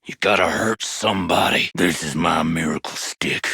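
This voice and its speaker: A man's voice: deep voice, moderate pace, gravelly, bright, forceful tone, slightly rough, no echo, studio quality, heavily acted. An actor playing a sadistic, self-righteous Southern lawman in a video game, with a folksy, preachy, menacing way of talking and a foul mouth. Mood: smug, folksy menace. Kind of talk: villain monologue voice lines for a game.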